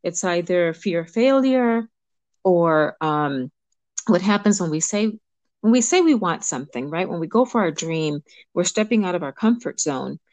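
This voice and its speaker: A middle-aged woman from Cuba, a guest coach, speaking thoughtfully with ums and pauses. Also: fairly low pitch, expressive, no room sound. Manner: warm, reflective, pauses with ums. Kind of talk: interview conversation.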